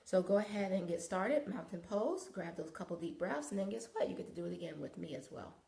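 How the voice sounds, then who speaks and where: Woman, yoga instructor, gravelly, sniffly, encouraging, echoey room. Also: lowish voice, expressive, brisk, mellow. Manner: encouraging, conversational with frequent okays. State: sniffling after nose surgery.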